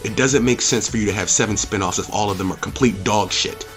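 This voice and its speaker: Low-pitched man ranting bluntly over music. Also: quick pace, a bit bright. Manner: blunt, emphatic, with profanity and rhetorical questions. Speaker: host of a movie and game review channel. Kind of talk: opinionated review rant, casual and unscripted.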